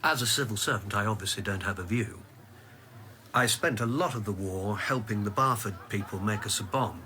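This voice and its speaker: Careful english civil servant man, bright voice, reserved, keeps it understated, radio play. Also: on the low side, moderate pace, a little hiss or hum. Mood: guarded. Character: guarded, principled British civil servant and narrator. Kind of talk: radio drama acting and narration.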